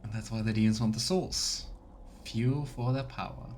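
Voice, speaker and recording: A man's voice: on the low side, moderate pace, a little mellow, a little hiss or hum. A man streaming a game with casual commentary, musing aloud and reacting as he plays. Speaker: streamer playing through a game. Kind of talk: casual let's play commentary. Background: faint game music.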